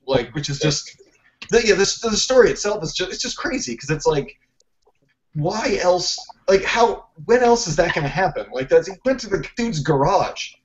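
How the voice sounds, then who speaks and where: A man hosting a podcast in casual chat, joking in a self-deprecating way and asking lots of questions. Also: a little high. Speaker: podcast host. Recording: dull lo-fi podcast audio.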